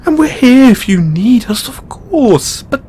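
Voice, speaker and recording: Slow, anguished young-sounding god, acted by a man. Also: very high-pitched, big pitch swings, mellow, slightly rough, exaggerated voice. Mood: earnest, sorrowful. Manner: halting, emotional, earnest pleading. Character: kind, overburdened male god, anguished and benevolent. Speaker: audio roleplay voice actor. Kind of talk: intimate dramatic audio roleplay monologue.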